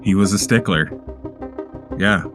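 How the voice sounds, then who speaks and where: A man playing a tabletop roleplaying game, improvising in character in a halting, hesitant way. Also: sits low. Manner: halting, hesitant, searching for words. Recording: music playing behind the voice. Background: music.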